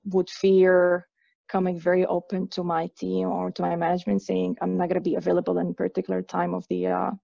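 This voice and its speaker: A woman's voice: lowish voice, gravelly, mellow, slightly rough, no room sound, highs cut off. A woman from Egypt in her thirties, a guest speaking hesitantly with many fillers and restarts. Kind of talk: conversational interview.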